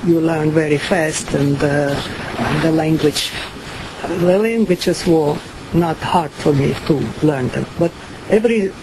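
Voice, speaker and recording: An older woman from Ukraine with a Ukrainian accent, giving slow, halting personal testimony full of fillers and pauses. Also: low-pitched, background noise. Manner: many pauses and fillers, non-native phrasing. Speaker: survivor giving a talk.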